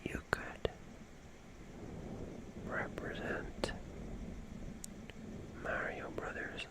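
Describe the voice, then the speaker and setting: An ASMR streamer speaks entirely in a whisper. The delivery is very slow and halting, with phrases drawn out in a musing monologue.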